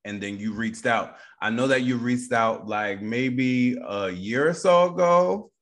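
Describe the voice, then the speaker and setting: A man's voice: medium pitch, measured pace. An American man hosting a casual interview, informal and encouraging as he talks with his guest. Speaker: interview show host.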